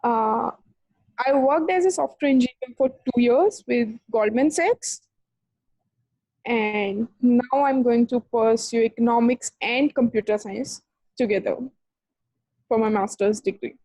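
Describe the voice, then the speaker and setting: A woman's voice: a little high, measured pace, dark tone, no room sound, poor audio. A woman taking part in an online workshop over a video call, answering briefly and matter-of-factly. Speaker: workshop participant.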